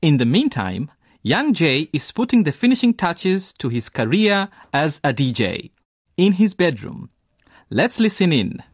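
A man narrates a scripted radio drama introduction. His voice is somewhat high and husky, with lively movement from word to word. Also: unhurried, no room sound, clean studio sound.

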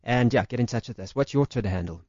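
A male radio host with a mid-range voice, relaxed and teasing as he chats with his guest. The sound is dry and close, with a muffled, dull quality. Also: medium pitch, fast, no room sound. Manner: easygoing, teasing. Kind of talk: casual radio interview. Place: radio studio. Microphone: studio microphone.

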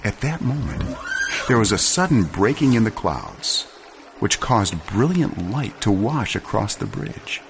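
Deep-voiced male storyteller, expressive and measured. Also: vocal fry, background noise. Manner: measured, solemn, expressive storytelling with deliberate pauses. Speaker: storyteller, narrator. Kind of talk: dramatic scripted story reading.

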